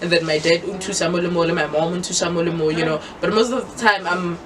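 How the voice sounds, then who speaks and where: A woman's voice: fairly low pitch, steady tone, quick pace, distant mic, noisy background. A young South African woman, a native Sepedi speaker, telling her story as a guest in a casual interview and mixing English with Sepedi.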